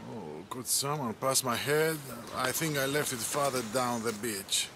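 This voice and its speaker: An actor playing a carefree, groggy, jokey reveller of ancient Greece in a video game, over music. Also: male voice, mid-range voice, animated, measured pace, background noise, natural voice. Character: hungover, carefree male Greek reveller. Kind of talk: video game cutscene acting. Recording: music behind the voice.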